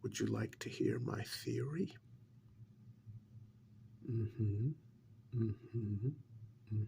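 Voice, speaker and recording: A man acts out a government agent quietly interrogating someone in an ASMR role-play. His soft half-whisper is full of heavy vocal fry and sits fairly low. He is calm and condescending, picking out each phrase very slowly with long pauses. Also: a little hiss or hum, full character voice. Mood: smug, controlled.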